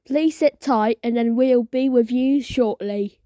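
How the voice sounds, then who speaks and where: A woman's voice: a little high, measured pace, dark tone. A woman continuity announcer reading a scripted emergency announcement in a formal manner.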